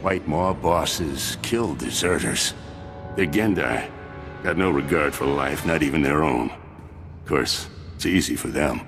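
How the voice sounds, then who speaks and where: An actor playing a menacing male pirate thug in a video game, with a gravelly voice and slow, threatening manner. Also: rumbling low, expressive, music in the background, heavily acted. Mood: grim, menacing.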